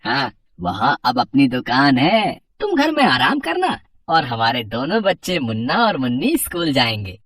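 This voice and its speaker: A woman's voice: extremely low pitch, big pitch swings, moderate pace, fairly bright tone, dry recording, studio quality, voice pushed down, full character voice. A woman voicing a simple, trusting male street vendor and family man in a moral story, earnest and plain-spoken.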